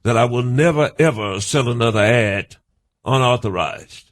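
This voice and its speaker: A man in an unscripted conversation, halting and repeating himself emphatically, mixing florid formal phrases with slang. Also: mid-range voice. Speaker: guest being counselled.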